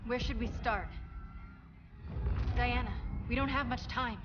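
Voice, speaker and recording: A woman's voice: fairly high pitch, measured pace, lightly acted. A woman playing an idealistic, compassionate young alien superheroine in a video game, earnest and tender. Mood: urgent. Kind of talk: dramatic video game cutscene acting. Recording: dull sound with loud ambient noise.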